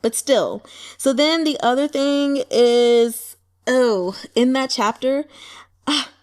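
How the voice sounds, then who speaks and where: A woman giving casual, animated book commentary as a channel host, wry and colloquial, often talking as if to the characters. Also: high-pitched, slow pace, smooth.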